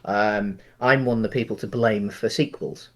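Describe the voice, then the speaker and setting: A man co-hosting a podcast, chatting casually about films and thinking aloud in a halting way. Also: lowish voice, steady tone, fairly bright tone. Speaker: podcast co-host. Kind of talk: casual film discussion.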